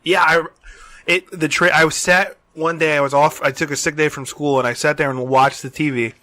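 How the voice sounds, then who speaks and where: A man in his thirties co-hosting a podcast with casual banter and joking, profane, animated storytelling. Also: fairly high pitch, brisk, no echo. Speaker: podcast co-host.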